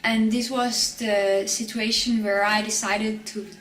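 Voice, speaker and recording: A woman in her twenties from Switzerland, speaking English with a Swiss German accent as she narrates her own story in a soft-spoken, halting way. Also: medium pitch, level tone, reverberant, recorded from a distance, a little hiss or hum, low-quality recording. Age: 20s. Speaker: documentary subject. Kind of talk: personal documentary narration.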